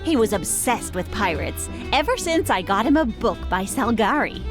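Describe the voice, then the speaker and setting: An actress playing a fond, chatty, gently bossy woman telling family stories in a video game drama. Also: fairly high pitch, big pitch swings, moderate pace, music in the background. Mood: fond, nostalgic.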